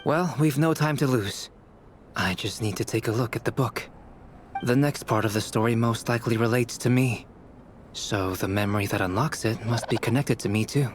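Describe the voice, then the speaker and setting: An actor playing a calm, analytical young male alchemist in a video game, measured and explanatory. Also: medium pitch, faint music behind, lightly acted. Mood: composed, explanatory.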